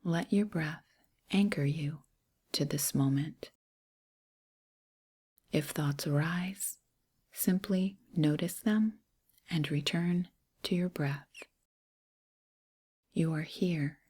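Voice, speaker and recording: A woman with a breathy, hushed, near-whispering voice gently guides a meditation in short, unhurried, soothing phrases. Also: sits low.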